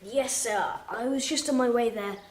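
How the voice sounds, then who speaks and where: A woman's voice: on the high side, normal speed, darkish tone, slight background noise, natural voice. A woman playing a polite, evasive young British schoolboy in an audio drama, deferential and defensive. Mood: nervous, evasive. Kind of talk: radio drama acting.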